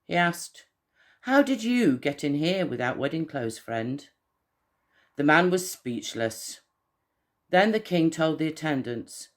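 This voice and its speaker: A man reading a Bible passage aloud as a member of a church. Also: fairly high pitch.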